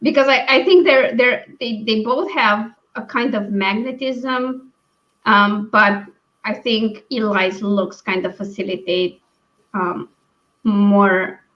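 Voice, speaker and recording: Female book stream host, higher pitch, halting and conversational, slightly muffled video-call sound. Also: fairly even intonation. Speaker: book read-along live stream host. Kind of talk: casual book discussion. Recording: dull, lo-fi stream audio.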